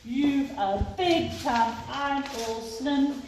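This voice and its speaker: Woman putting on a cheeky little girl, unhurried. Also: high-pitched, steady tone, pitched above the performer's own voice. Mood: teasing, singsong. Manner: teasing, partly singsong. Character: tiny fearless little girl, playful and cheeky. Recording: roomy echo, distant mic, faint noise.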